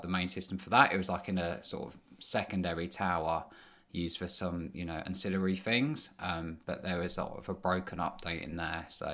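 A man, a podcast guest, answering casually and loosely with many fillers. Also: deep voice. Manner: relaxed, rambling with many fillers. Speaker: podcast guest, engineering manager.

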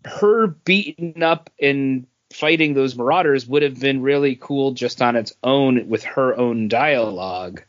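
A man's voice: medium pitch, a little slow, few pauses. A man talking casually and bluntly as a podcast panelist giving a review. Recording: dull, lo-fi audio.

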